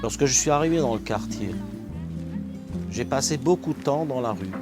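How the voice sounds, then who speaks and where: A pastor recounting his work in a neighborhood in a deliberate, measured way. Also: medium pitch, expressive. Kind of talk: testimony. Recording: voice with loud music behind.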